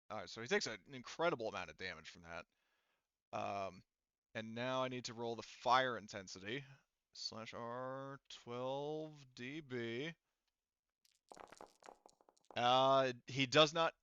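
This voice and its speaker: A man narrates a tabletop game as its game master. His voice is smooth and mid-pitched, and he talks informally, wandering through rules and action. The sound is very dry and a little dull. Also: pushed and bright, dry recording. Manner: informal, rambling, swears. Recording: dry, slightly muffled voice mic.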